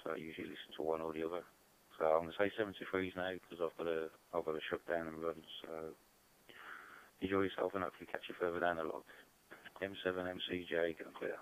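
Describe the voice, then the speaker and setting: A man with a very low, flat voice talks quickly through the end of a ham radio contact. He sounds brisk and chatty, and the talk keeps rolling along. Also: clean tone, low-quality recording. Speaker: amateur radio operator.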